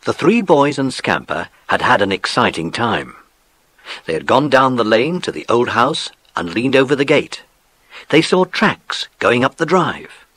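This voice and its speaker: A storyteller for a children's adventure, with a husky edge and lively intonation. The delivery is measured and scripted, recorded close and dry. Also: male voice, medium pitch, dry recording, exaggerated voice. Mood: measured storytelling.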